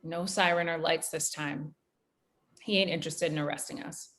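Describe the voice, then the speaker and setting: Low-voiced, streetwise woman sleuth played by an actress. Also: fairly even intonation, normal speed, a bit bright. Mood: tense. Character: streetwise, sharp, bold female amateur investigator. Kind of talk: table read of a screenplay, acted dialogue.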